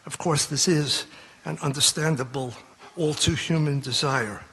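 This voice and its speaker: An older man reading a prepared statement at a podium in a large hall, measured and earnest, pressing his points with repetition. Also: a little high, pushed and bright, husky. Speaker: panel discussant. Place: large concert hall stage. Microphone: podium microphone. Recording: long hall reverb.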